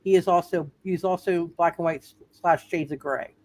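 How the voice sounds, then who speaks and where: A woman running an improvised tabletop roleplay as game master, halting and often repeating phrases as she narrates. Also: lowish voice, steady tone, darkish tone.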